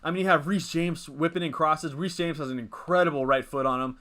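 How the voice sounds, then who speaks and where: A man's voice: a little high, brisk, sharp tone. A young man in his twenties hosting a casual live stream, rambling as he reads and answers the chat. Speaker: livestream host.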